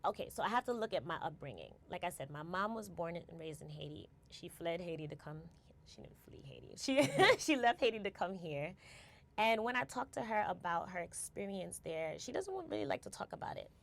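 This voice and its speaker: Low gravelly New woman. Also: expressive, brisk. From United States. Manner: reflective, tags phrases with "right?" and restarts words. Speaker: guest, company founder. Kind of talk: casual interview conversation.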